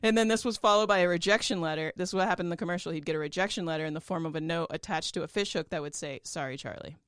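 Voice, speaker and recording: A woman's voice: on the low side, racing, clear, resonant tone, no room sound, studio quality. A woman co-hosting a podcast, chatting casually and telling a story with frequent laughs. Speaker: podcast co-host.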